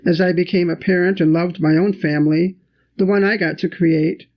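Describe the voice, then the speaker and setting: An older woman, an elder, slowly reciting her life story in a measured, reflective spoken-word style. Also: lowish voice, fairly even intonation. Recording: dull lo-fi video recording.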